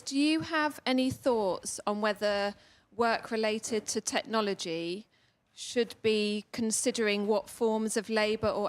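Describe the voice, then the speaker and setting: A woman hosting an event, relaying questions from the audience in a plain speaking style. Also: mid-range voice.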